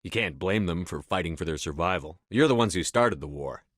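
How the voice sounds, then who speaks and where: An actor playing a stern, steady human military commander in a sci-fi video game, clipped, authoritative and matter-of-fact. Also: male voice, low voice, a little fast, dry recording, studio quality. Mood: blunt, reproachful. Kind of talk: video game dialogue acting.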